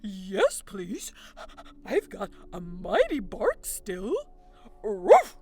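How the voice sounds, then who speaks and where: A woman voicing an old, tired but eager male dog in a bedtime storybook, speaking loudly. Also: mid-range voice, huge pitch swings, drawn-out words, slightly rough, full character voice.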